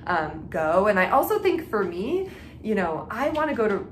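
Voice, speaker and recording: A woman's voice: medium pitch, big pitch swings, normal speed. A woman hosting a craft podcast, chatting informally to her viewers with frequent filler "like" and self-interruptions. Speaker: knitting and reading podcast host. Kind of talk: casual chat to viewers. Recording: echoey room with faint background noise.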